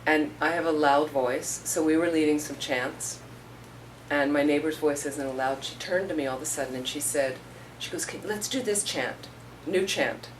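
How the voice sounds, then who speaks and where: Middle-aged woman doing a chatty dharma talk, low voice, mic kinda far off, faint room hiss. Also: normal speed. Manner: conversational, storytelling with acted-out quotes. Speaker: Buddhist teacher.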